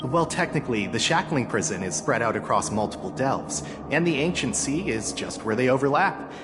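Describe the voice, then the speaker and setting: An actor playing a courteous gatekeeper guide in a video game, welcoming and keen to explain. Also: male voice, mid-range voice, animated, moderate pace, music in the background, lightly acted. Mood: welcoming, explanatory. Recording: clear background ambience noise.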